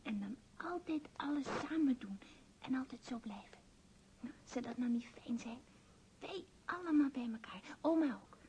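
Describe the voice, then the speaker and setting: Dreamy pleading kid, soft breathy half-whisper, muffled hissy old radio play. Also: female voice, sits high, animated, moderate pace. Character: clingy young child pleading with Papa, dreamy and insistent.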